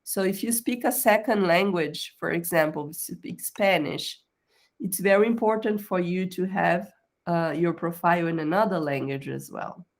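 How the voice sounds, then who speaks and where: Woman career coach, slightly lower voice, patient step-by-step webinar delivery, often checks in with "okay?". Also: moderate pace. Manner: explanatory, checks in with "okay?", non-native phrasing. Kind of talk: screen-share tutorial on a professional profile.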